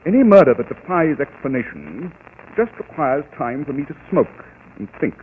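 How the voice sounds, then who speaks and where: Brief: a cool, clipped detective, raised voice, lively swings, tinny old radio sound. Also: male voice, a little high, moderate pace, slightly rough. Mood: dry, superior. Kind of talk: 1940s radio acting.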